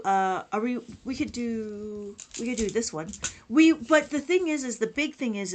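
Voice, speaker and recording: A woman's voice: a little high, slight background noise. A woman teaching abacus math on a live stream, chatting with viewers, greeting them by name and counting numbers aloud.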